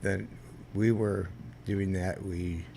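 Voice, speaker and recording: Gravelly deep-voiced older man. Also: steady tone, slight background noise. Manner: rambling, halting, with many restarts; loud voice that carries. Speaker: guest, Vietnam veteran. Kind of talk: casual storytelling interview.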